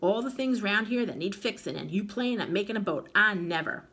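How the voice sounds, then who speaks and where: Lady reading aloud as a bossy old grandma, loud and nagging, rural drawl, bit gravelly and husky, sharp tone. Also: medium pitch, expressive, a little fast, voice pushed up, heavily acted. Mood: grumbling. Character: cranky scolding old grandmother on the frontier.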